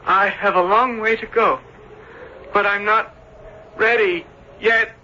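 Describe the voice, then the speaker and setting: A tender, ghostly young man's spirit from an old radio drama. He speaks very slowly and solemnly in a high, piercing voice. The sound is thin, with faint hiss. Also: fairly even intonation, bright, forceful tone, dull audio, full character voice. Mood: wistful, eerie. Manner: slow, otherworldly, solemn.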